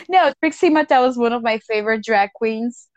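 A woman's voice: on the high side, no echo. A woman ranting bluntly and profanely in a casual chat as a podcast co-host.

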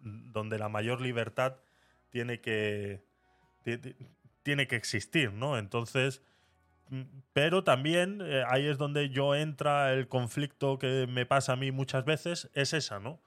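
Presentador español de podcast en directo. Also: male voice, medium pitch, fairly even intonation, slow pace, fairly bright tone, faint music behind. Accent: Castilian Spanish. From Spain. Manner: conversational, hesitant, fillers. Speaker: podcast host. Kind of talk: live podcast chat.

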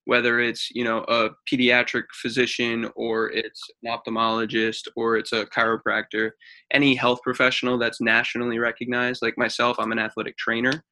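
Flat-voiced bright man in his twenties. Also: medium pitch, brisk. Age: mid 20s. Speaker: athletic trainer. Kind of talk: casual podcast chat.

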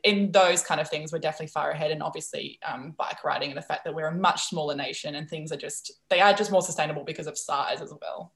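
A young woman from the Netherlands, a native Dutch speaker, talking as a guest in a podcast interview, animated and filler-heavy. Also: low-pitched, reverberant. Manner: animated, quick, frequent fillers and laughs.

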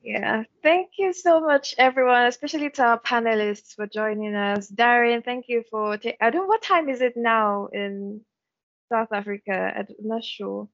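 A woman with a gravelly, creaky edge to her voice, hosting an online panel in a chatty, giggly way. The sound is dry and muffled, as if over a video call. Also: fairly high pitch, normal speed, fairly bright tone, no room sound. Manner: chatty, giggly, loose phrasing. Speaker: moderator.

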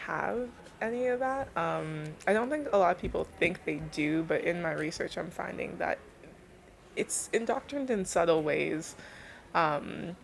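A woman's voice: lowish voice, gravelly. A young woman from Canada, explaining her research in an informal, thoughtful interview style. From Canada, born in Belgium to Nigerian parents. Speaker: interviewed thesis student.

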